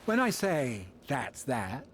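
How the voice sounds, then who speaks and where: An actor playing a flamboyant, cruel villain lord in a video game, haughty and mocking in a theatrical way. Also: male voice, medium pitch, wildly swooping pitch, slow pace, husky, full character voice. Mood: smug, mocking. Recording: loud music behind. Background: game music.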